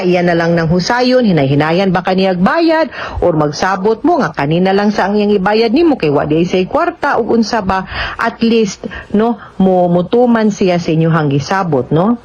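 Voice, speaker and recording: A woman's voice: fairly low pitch, a little mellow. Cebuano Filipino woman, dull lo-fi radio sound. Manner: explanatory, often ends points with tag questions. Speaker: radio host and lawyer giving legal advice. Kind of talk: conversational radio legal advice, answering listener questions.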